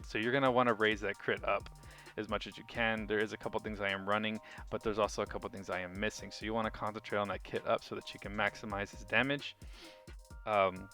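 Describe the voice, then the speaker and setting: Male gaming guide host, lowish voice, casual commentary with an informal, think-aloud delivery, music underneath. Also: fairly bright tone.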